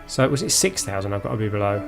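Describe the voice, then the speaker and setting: A man's voice: fairly low pitch, sing-song, quick pace, vocal fry, music in the background. A man from Essex with a southern English accent, hosting a live gaming stream in a casual, unscripted way, often muttering to himself as he plays. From Essex, England. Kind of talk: casual live gameplay commentary.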